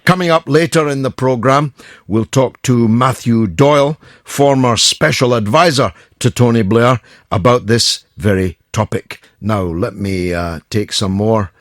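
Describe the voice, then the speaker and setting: A man in his sixties hosting a radio phone-in, talking briskly and reading out listener messages. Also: mid-range voice, no echo, studio quality.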